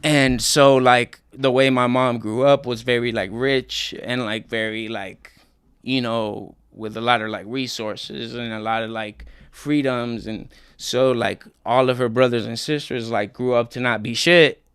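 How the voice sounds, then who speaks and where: A young man from Colombia, a guest telling his life story in a relaxed, slangy way with many fillers. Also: mid-range voice, a little slow, clean tone, no room sound, clean studio sound. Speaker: interview guest, rapper. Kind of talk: casual interview talk.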